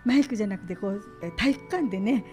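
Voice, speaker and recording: A woman's voice: mid-range voice, animated, fast talker. A middle-aged woman hosting live community radio, announcing and interviewing in polite, conversational phrasing. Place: small community radio studio. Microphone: studio boom microphone with headset headphones. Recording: soft background music behind studio voice. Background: faint music.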